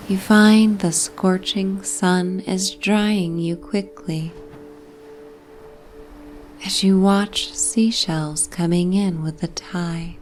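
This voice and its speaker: A woman narrating a bedtime sleep story in a soft, breathy, half-whispered, soothing ASMR manner. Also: fairly low pitch, steady tone, very slow, a little hiss or hum.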